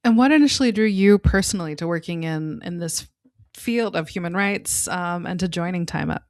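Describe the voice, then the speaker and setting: A woman hosting a podcast, asking a guest an interview question in a measured way. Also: lowish voice, a little mellow, no room sound, clean studio sound. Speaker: podcast host.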